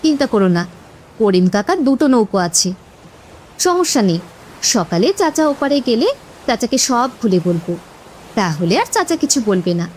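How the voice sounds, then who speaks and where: A woman's voice: a little high, very animated, normal speed, long pauses, darkish tone, slight background noise, rough recording. A woman voicing a kindly, persuasive but easily frightened village wife in a horror cartoon. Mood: reassuring. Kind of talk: Bengali horror cartoon dubbing.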